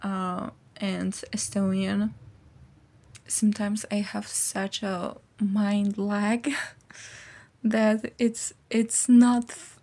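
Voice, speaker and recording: A woman's voice: mid-range voice, creaky, mellow. A woman, a student vlogger chatting casually in a rambling, informal way. is her language. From Poland. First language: Polish. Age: early 20s. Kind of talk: casual chat.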